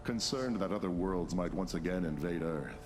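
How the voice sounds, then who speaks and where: Very deep, ominous male actor as a game narrator. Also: normal speed, music in the background, lightly acted. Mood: ominous, solemn. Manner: ominous, solemn. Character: grave male storyteller of superhero fighting tales. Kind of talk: dramatic scripted narration.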